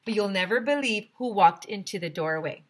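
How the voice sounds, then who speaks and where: A woman's voice: medium pitch, expressive, fairly quick. A woman teacher reading a children's story aloud expressively, with chatty asides to young listeners.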